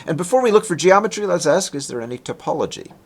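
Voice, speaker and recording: A man's voice: on the high side, expressive, fast talker. A man giving a technical talk as a presenting researcher, explaining step by step in a conversational way and posing questions as he goes. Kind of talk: technical lecture with explanatory narration of slides.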